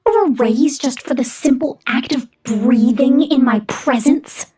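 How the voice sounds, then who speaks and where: Woman voicing a breathy, sadistic female killer robot. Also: sits high, unhurried, warm tone, soft background music. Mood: contemptuous, commanding. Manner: menacing, contemptuous, commanding, breathy half-whispered delivery. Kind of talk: ASMR roleplay monologue.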